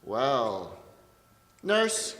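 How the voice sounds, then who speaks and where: A man playing an aggrieved returned husband from ancient epic, declaiming deliberately on a theatre stage. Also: mid-range voice, wildly swooping pitch, a bit bright, exaggerated voice. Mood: bitter, wounded. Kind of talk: dramatic stage reading of an epic. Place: theatre stage in an arts center. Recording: echoey hall with long reverb.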